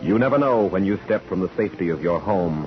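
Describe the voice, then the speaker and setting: A man's voice: low voice, expressive, fast. A man announcing a 1940s radio show in a solemn, portentous, dramatic style. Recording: dull old radio recording under music.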